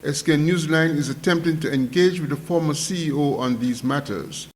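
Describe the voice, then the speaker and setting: A man's voice: a little high, moderate pace. A man reading scripted news narration as a reporter.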